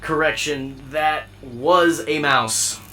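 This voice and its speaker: A woman's voice: low-pitched, takes it slowly, bright, forceful tone, a little hiss or hum, heavily acted. A woman playing a timid, polite, curious robot companion in an audio drama, hesitant and earnest. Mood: matter-of-fact.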